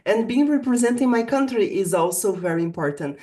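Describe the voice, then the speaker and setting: A woman from Brazil, a native Portuguese speaker with a Brazilian accent, giving a prepared talk as an English teacher in an earnest, deliberate manner. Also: medium pitch, animated, dark tone. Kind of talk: prepared virtual summit presentation.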